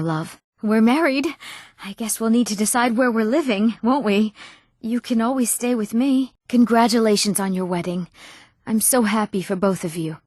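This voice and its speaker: Eager young townswoman for a fantasy game voiced by a woman: earnest, expressive, mid-pitched, close dry recording. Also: no echo, natural voice. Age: young adult. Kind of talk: scripted fantasy video game voice acting.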